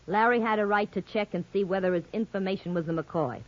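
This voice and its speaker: Actress as a defiant, hard-boiled radio dame. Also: female voice, mid-range voice, fast, lightly acted. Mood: defiant, bitter. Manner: clipped, combative. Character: defiant, hard-boiled woman, curt and bitter. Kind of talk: 1950s radio drama acting. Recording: muffled vintage radio broadcast.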